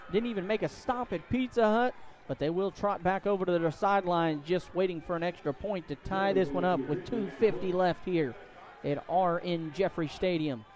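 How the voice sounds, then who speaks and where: A man doing live play-by-play commentary of a high school football game. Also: darkish tone. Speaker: play-by-play announcer.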